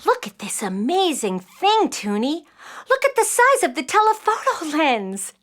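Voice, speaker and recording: A woman playing a bright, earnest, precocious nine-year-old girl in a children's audio play, articulate and excitable. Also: high voice, measured pace, exaggerated voice. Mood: excited.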